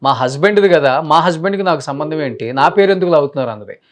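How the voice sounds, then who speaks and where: Guy news anchor, kinda high voice, emphatic commentary, lists stuff, bits of english mixed in. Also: expressive, fast, a crowd in the background.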